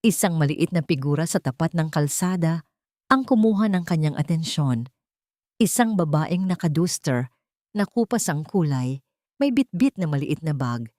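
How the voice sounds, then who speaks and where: A woman's voice: fairly low pitch, darkish tone, no room sound, studio quality. A woman narrator reading a scripted romantic drama in a dramatic storytelling style.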